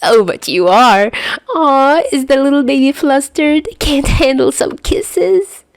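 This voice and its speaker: A woman voicing a loud, manic young prankster in an audio story, mocking and giggly as he teases his friend. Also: high-pitched, slow pace, no echo, voice pushed up. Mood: gleeful mocking. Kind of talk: hobby audio fiction acting.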